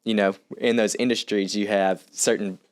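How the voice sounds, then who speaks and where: A man's voice: lowish voice, steady tone, moderate pace, smooth. An American man explaining coursework casually, with loose, rambling phrasing full of small filler words. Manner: loose, rambling phrasing with frequent "like" and "you know". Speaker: university real estate instructor, podcast guest.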